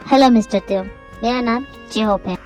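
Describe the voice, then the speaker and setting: A woman voicing a calm young man in a drama, speaking low and earnestly. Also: a little fast, faint music behind, natural voice.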